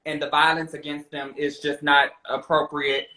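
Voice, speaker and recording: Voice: medium pitch, little pitch movement, moderate pace, bright, forceful tone. A person in a heated casual debate as a talk guest, speaking in a sassy, insistent way and repeating points for emphasis. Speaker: guest discussing a reality show. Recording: roomy echo.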